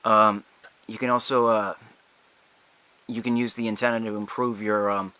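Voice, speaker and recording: Halting young man with a bright, lowish voice. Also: flat delivery, poor audio. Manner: halting, hesitant, apologetic. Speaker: amateur product reviewer. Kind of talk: casual unscripted product demonstration.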